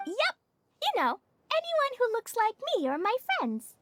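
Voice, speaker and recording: A woman's voice: extremely high pitch, wildly swooping pitch, measured pace, background noise, full character voice. A woman voicing a cheerful, innocent little girl in a video game, earnest and childlike. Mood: eager.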